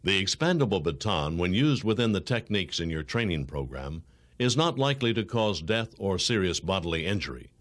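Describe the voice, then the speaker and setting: A man with an American accent reads scripted instructional narration in a formal, measured, authoritative way. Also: deep voice, big pitch swings, a bit bright. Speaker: training film narrator.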